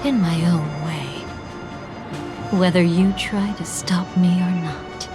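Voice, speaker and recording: A poised, alluring woman with a quietly defiant streak, in anime-style game acting. She takes her words extremely slowly and keeps her guard up. Clear music runs behind her. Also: on the low side, fairly even intonation.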